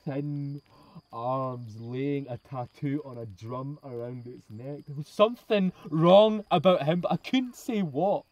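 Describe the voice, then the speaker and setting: A man's voice: mid-range voice, slow, a little mellow, no room sound, lightly acted. A man playing a frightened, agitated young soldier lad in an audio drama. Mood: uneasy, hushed.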